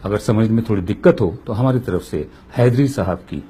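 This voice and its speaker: A man's voice: lowish voice, a little fast, mellow, a little hiss or hum. A man giving opinion commentary as a political commentator, emphatic and full of rhetorical questions.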